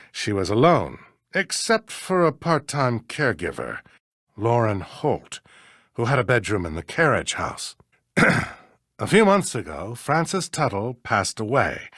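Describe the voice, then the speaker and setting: An actor playing a pompous, grumbling older small-town judge with an American accent in a video game, in a blustery, theatrical manner. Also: male voice, medium pitch, fairly bright tone, no room sound, studio quality. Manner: blustery, theatrical, throat-clearing, self-important.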